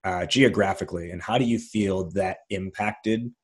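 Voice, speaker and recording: A man hosting an interview podcast, delivering a lively, sing-song scripted intro before chatting with his guest. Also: low voice, normal speed, husky. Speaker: podcast host.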